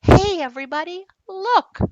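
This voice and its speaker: Mean storybook kid, mocking and boastful, raised pitch, loud delivery woman acting it out. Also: lively intonation, normal speed, no echo, highs cut off. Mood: excited shouting. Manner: loud, taunting. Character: mean, mocking young boys bragging and jeering. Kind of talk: storybook read-aloud character voice.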